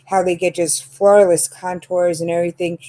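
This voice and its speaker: A woman's voice: lowish voice, little pitch movement, moderate pace, mellow. A woman of fifty hosting a casual chat about makeup, talking with many fillers and laughs. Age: 50s. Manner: chatty, lots of fillers and laughs. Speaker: channel host.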